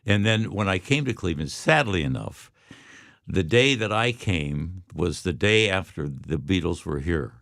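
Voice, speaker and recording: An older man from Detroit, a radio veteran guest telling relaxed, rambling stories with easy laughs. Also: deep voice, unhurried, creaky, no room sound, studio quality. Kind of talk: casual storytelling.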